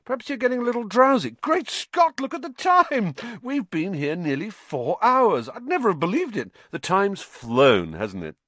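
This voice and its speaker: A man's voice: high voice, huge pitch swings, moderate pace, few pauses, sharp tone, no echo, pitched above the performer's own voice, heavily acted. A man reading an audiobook, voicing a vain and pompous grown wizard teacher who gushes with self-importance. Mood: boastful. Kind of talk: audiobook character voice.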